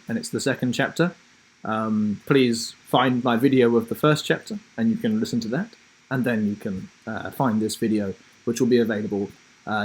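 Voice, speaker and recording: Guy with a bit of husky voice talking to kids on a livestream, reading his story, deliberate phrasing. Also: medium pitch, rough recording. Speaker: author reading own story on live broadcast. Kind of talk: friendly instructional chat and storybook reading for children.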